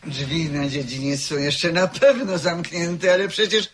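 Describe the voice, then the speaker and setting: A woman's voice: fairly low pitch, measured pace, natural voice. A woman playing a fretful lady of the manor. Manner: fretful, exclamatory. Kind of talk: radio drama acting. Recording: faint hiss on old radio tape.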